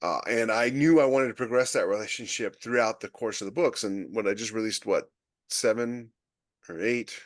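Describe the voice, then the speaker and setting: A man's voice: lowish voice, normal speed, dry recording, studio quality. A man co-hosting a podcast panel, chatting casually about writing, rambling with lots of restarts and fillers. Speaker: podcast co-host, author.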